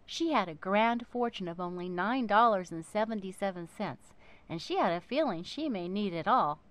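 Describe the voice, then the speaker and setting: A woman narrating a children's book in an expressive, theatrical way, with dramatic emphasis and pauses. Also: mid-range voice.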